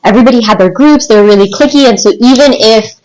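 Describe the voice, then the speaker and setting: A woman in her twenties with an American accent, telling personal stories in an informal, animated, rambling way as a podcast guest. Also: a little high, fast, a little hiss or hum, rough recording. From United States. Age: 20s. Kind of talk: casual podcast chat, personal storytelling.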